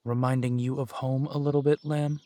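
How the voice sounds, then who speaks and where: A man playing a gentle, dry-humored werewolf private eye and younger brother in an immersive roleplay audio drama, speaking softly and wryly. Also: medium pitch, level tone, a little fast, dry recording, lightly acted. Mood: gentle, reassuring.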